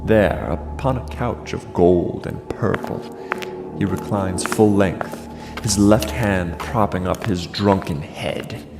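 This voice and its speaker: A man's voice: deep voice, takes it slowly. An actor playing a vengeful, gloating king in a classical tragedy, grandiose and theatrical. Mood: cold anticipation. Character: vengeful gloating king savoring his brother's ruin. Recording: music under the voice.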